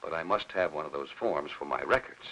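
An actor in his sixties with an American accent playing a courteous but firm postal official in a 1950s film, measured and formal. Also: a bass voice, a bit bright, heavily acted, low-quality recording. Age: 60s. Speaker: supporting actor in a film noir. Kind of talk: 1950s Hollywood film acting. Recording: aged 1950s film soundtrack.

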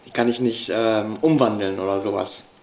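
A man's voice: lowish voice, steady tone, normal speed, reverberant, over loud music. A man in his thirties chatting casually in a home video diary, recorded indoors on a camera.

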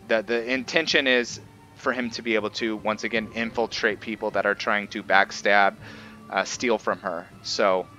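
Slow, bright-voiced male gamer. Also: lowish voice, lo-fi sound. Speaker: gaming video host. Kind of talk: casual gameplay commentary with roleplay explanation. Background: video game ambience.

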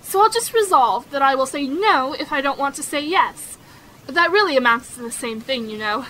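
Woman playing outspoken young girl, high voice, candid and theatrical, period radio play, faint noise behind. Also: expressive, normal speed, pushed and bright, rough recording. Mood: relieved. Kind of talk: period romantic audio drama acting.

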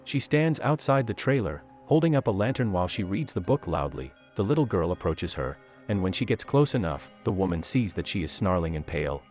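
Deep-voiced man narrating a movie recap. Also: sing-song, brisk, hardly any pauses, darkish tone, faint music behind. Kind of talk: scripted narration.

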